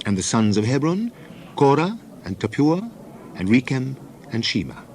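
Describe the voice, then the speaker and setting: A man narrating scripture in a measured, solemn, declamatory way, as in a dramatized Bible reading. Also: mid-range voice, sing-song, a little mellow, a little hiss or hum.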